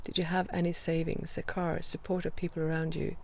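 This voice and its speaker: A woman in her fifties with a Swedish accent, hosting a live video Q&A and gently encouraging her viewers. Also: lowish voice, level tone, slight background noise. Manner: gentle, encouraging, slow and reflective with many pauses. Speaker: host.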